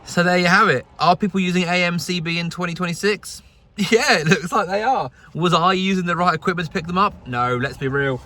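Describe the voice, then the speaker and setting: Higher-pitched man vlogging about radio. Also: fairly quick, bright, forceful tone. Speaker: amateur radio vlog host. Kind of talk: casual vlog narration with radio calls. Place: outdoors and in a vehicle. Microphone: phone camera.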